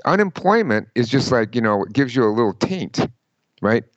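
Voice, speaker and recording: A man giving career advice in an interview, emphatic and lively, often quoting people in mock voices. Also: medium pitch, normal speed, no echo, lo-fi sound. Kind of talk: conversational interview.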